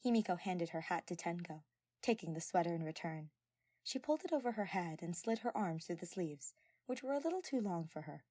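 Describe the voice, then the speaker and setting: A woman's voice: fairly low pitch, expressive, brisk, mellow, no room sound. A woman narrates a written story. She reads scripted prose as the storyteller. The sound is very dry, with no room to it. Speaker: podfic narrator.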